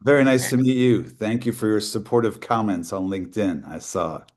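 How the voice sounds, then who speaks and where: A man co-hosting a webinar over a video call, speaking in a measured way. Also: fairly low pitch, darkish tone. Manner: measured, refers to journalism. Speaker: webinar co-host. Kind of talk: casual video call chat.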